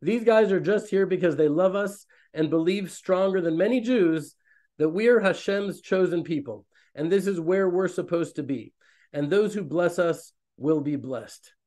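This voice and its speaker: A man in his thirties, a rabbi hosting an online fellowship, talking in a loose, rambling, animated way on a webcam from a small room. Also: on the high side, normal speed. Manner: rambling, animated, self-interrupting. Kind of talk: casual online talk to a community. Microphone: webcam on a video call.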